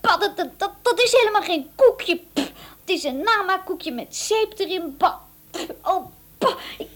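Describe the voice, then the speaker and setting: A woman playing a naive, excitable little wooden puppet boy who stammers and exclaims, in a children's audio story. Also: very high voice, normal speed. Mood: disgusted.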